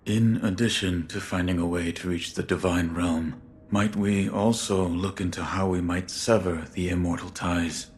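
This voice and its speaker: A man plays a loyal shinobi from a game cutscene. His voice is extremely low and gruff, his manner terse and solemn. A faint rumbling noise sits behind him. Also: steady tone, moderate pace, natural voice. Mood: questioning. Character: stoic adult male shinobi bodyguard, loyal and terse. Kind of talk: video game cutscene acting.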